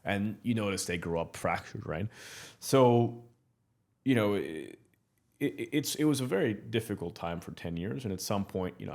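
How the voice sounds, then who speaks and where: A man in his thirties from Morocco, speaking as a podcast guest in a low voice. He talks candidly and bluntly, restarting his sentences and leaning on fillers as he goes. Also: vocal fry. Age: 30s. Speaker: guest entrepreneur. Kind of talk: casual podcast interview.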